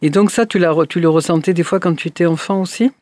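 A man's voice: a little high, fast talker, darkish tone, dry recording. A man hosting a radio interview in a studio, hesitant with many fillers and restarts. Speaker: radio host.

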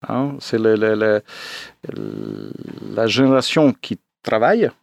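A man's voice: lowish voice, huge pitch swings, slow, gravelly, dry recording. A 57-year-old man from Italy speaking as a pastor in a radio interview, often hesitating as he searches for words.

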